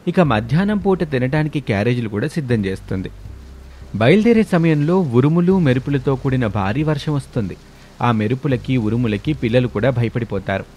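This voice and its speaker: A man's voice: medium pitch, lively intonation, a little fast, dark tone, a little hiss or hum. A man narrating a scripted story.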